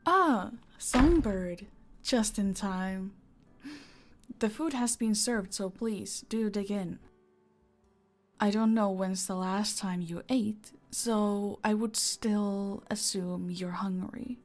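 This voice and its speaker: A woman playing a possessive, teasing mafia boss in an intimate audio roleplay, commanding and often dropping toward breathy whispers. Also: mid-range voice, takes it slowly. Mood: composed, attentive.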